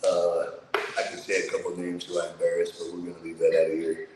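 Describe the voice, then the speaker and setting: A man with a low voice telling his story in a casual, streetwise, blunt way, swearing freely, as an interview guest. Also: monotone, quick pace, very echoey, in a large hall, background noise. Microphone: remote video call.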